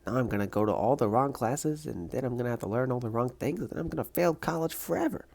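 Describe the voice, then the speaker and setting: A woman voicing a grumpy, deadpan female college student in a comic dub, sounding disgruntled. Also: rumbling low, fast, creaky, heavily acted.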